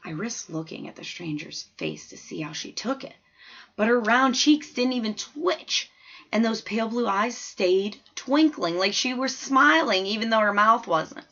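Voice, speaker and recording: A woman's voice: fairly high pitch, expressive, unhurried, sharp tone, heavily acted. A woman voicing a plain-spoken, observant fourth-grade girl who tells her own story in a chatty, folksy, emphatic way. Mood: wondering, earnest. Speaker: audiobook narrator. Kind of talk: scripted first-person story narration. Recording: slightly dull, lo-fi audio.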